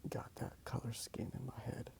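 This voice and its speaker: A man speaking in a soft, hushed ASMR style, musing slowly and repeating his phrases as he narrates his drawing. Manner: hushed, unhurried musing, repeats phrases, asks listener questions. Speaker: host of an ASMR drawing video. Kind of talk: soft-spoken ASMR rambling while drawing. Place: outdoors in a park.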